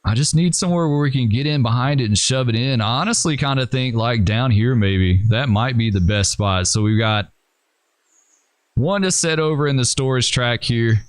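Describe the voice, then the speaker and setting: A man talks through a train simulator game at an everyday middle pitch, rambling and hesitant as he thinks aloud. His voice is a little hoarse, and the recording is dry with no room sound. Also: moderate pace, dry recording. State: losing his voice. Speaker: gaming channel host. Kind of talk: casual gameplay commentary.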